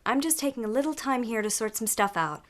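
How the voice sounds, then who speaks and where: A woman's voice: on the high side, animated, fast talker, lightly acted. A woman playing a guarded, prickly American newcomer in a naturalistic radio drama. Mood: guarded, amused. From United States, Seattle.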